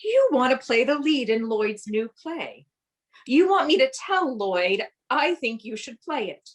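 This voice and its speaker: A woman acting in a radio play as an excitable, primly indignant society wife. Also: high voice, measured pace, exaggerated voice. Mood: firm, indignant.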